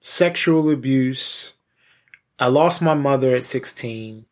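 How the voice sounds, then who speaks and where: A man with a husky voice, a pastor and interview guest, giving candid personal testimony in a halting, conversational way with repeated words. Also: mid-range voice. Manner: halting, repeats words, frequent "you know".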